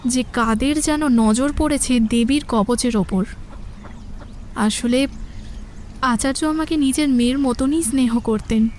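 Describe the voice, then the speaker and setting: An actress playing a gentle young village woman in an audio drama, speaking in an earnest, measured way. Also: high-pitched, a little hiss or hum. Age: young adult. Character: gentle, soft-natured young village woman, childhood friend.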